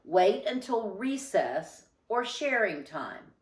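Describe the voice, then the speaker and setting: A cool, kindly gentleman mouse who teaches school, as a woman reads him in a storybook. He talks in a measured, encouraging way at an unhurried pace, in a roomy space. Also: medium pitch, very animated. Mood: patiently firm. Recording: roomy, echoing sound.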